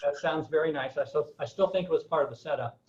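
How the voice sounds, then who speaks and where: A man from the United States telling a class a relaxed anecdote, folksy and hesitant with frequent fillers. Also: a little high, fast, lo-fi sound. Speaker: guest speaker.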